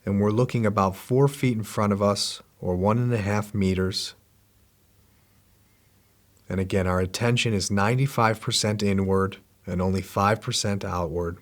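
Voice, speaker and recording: A man with a lowish voice leads a guided meditation, talking in calm, unhurried instructions. He repeats each step gently and patiently, sounding middle-aged. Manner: slow, gentle, repetitive instructions. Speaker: meditation teacher.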